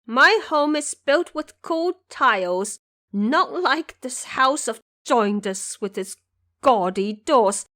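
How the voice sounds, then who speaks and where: Woman acting loud, high, indignant young princess. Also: lively intonation, takes it slowly, no room sound, studio quality, full character voice. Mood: indignant, shouting. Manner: loud, protesting, demanding. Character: shrill, indignant young princess possessed by a commoner wife's soul. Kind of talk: audio drama character acting.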